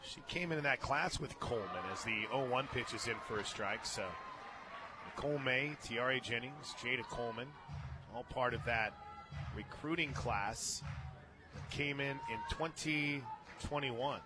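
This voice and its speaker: An American man doing live radio play-by-play of a softball game, chatting between pitches. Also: unhurried. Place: stadium radio booth, open to outdoor noise. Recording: clear outdoor ballpark noise.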